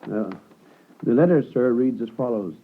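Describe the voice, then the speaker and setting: An actor playing a deferential, fussy law clerk in a 1950s radio drama, formal, hesitant and obsequious. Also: male voice, mid-range voice, animated, normal speed, slight background noise, close to an everyday voice. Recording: tinny vintage 1950s radio broadcast.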